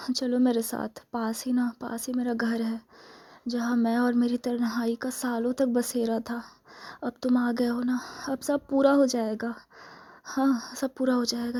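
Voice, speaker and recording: A woman playing a lonely, seductive vampire in a soft-spoken ASMR role-play, murmuring intimately and often whispering with lingering, coaxing words. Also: on the high side, slightly rough.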